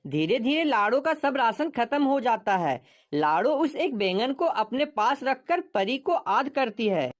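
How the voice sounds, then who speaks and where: A woman's voice: fairly high pitch, big pitch swings, measured pace. Woman narrating a cartoon story.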